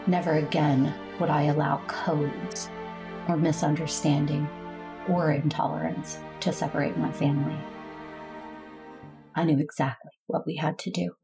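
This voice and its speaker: A woman's voice: deep voice, flat delivery, unhurried, vocal fry. A woman narrating a drama in the first person, grave and grieving. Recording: music under the voice.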